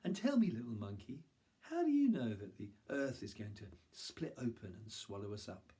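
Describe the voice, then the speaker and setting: A man voicing a wise, calm lion in a told story, asking measured questions. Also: mid-range voice, lightly acted.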